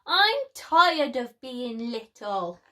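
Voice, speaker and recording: Woman voicing an excitable, high-pitched little lioness cub. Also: very slow, a little hiss or hum, exaggerated voice. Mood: grumbling, impatient. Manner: excitable, exclaiming. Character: eager, impatient little lion cub girl.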